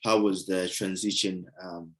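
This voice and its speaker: A man's voice: low voice, level tone, a little slow, a bit bright, a little hiss or hum. A male interviewer who thanks his guest and asks loose, friendly follow-up questions. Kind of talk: casual interview chat.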